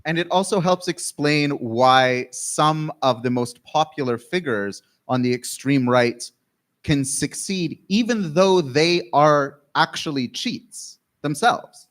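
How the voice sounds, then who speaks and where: A man from Canada giving an academic keynote lecture, speaking in measured phrases and pausing often. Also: a little high, fairly bright tone.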